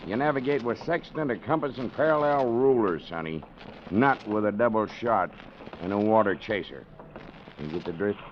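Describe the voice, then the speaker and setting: A man's voice: on the low side, lively intonation, normal speed, natural voice. An actor in an old-time radio drama playing a nosy, boozy old expatriate boatman who drawls folksy nautical slang. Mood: knowing, folksy. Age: older. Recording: noisy muffled old radio broadcast.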